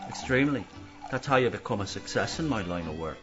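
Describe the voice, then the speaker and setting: Guy doing a lowish dry hired crook, guarded, radio play, slightly dull sound with music under. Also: lively intonation, fast talker, close to an everyday voice. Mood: dry, businesslike. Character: wary, dry-witted male career criminal for hire. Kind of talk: radio mystery drama acting. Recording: dull band-limited audio with music.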